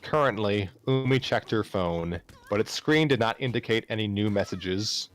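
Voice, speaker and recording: A man with a low voice narrates a story in an informal, amateur read-aloud. He is prone to stumbling and repeating phrases, and music plays underneath. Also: normal speed.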